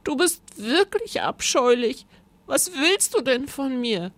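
Woman doing a little girl in a kids story, high voice, feisty but scared, kinda pleading. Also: takes it slowly. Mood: tearful, pleading. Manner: exclaiming, pleading, crying out. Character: plucky young girl, high-voiced, easily irritated, frightened but defiant.

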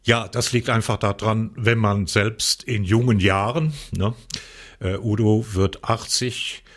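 Older man, lowish voice, chatty radio storyteller with fillers. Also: takes it slowly. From Palatinate, West Germany. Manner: reminiscing, relaxed storytelling with fillers. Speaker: co-host. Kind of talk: casual radio talk.

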